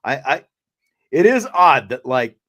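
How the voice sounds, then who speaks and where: A man hosting a sports talk show, speaking casually in a measured, conversational way. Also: medium pitch, very animated, sharp tone.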